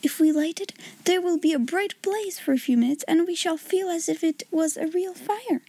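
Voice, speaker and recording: Woman as a dreamy, high-voiced, imaginative young girl. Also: animated, moderate pace, warm tone. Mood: eager. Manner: dreamy, well-spoken, grandly polite. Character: imaginative, gracious young girl playing at being princess.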